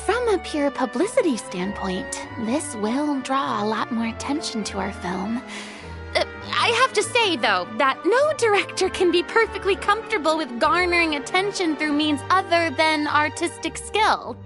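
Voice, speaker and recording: A woman's voice: high-pitched, very animated. A woman playing a vain, flamboyant and commanding film director in a video game. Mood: measured. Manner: theatrical, brisk and commanding. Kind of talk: English video game voice acting. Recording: music underneath.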